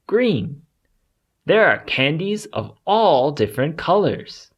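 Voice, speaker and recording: A storybook narrator reading aloud for young children. The delivery is slow and very animated, with deliberate pauses. The tone has a husky, breathy grain. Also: mid-range voice.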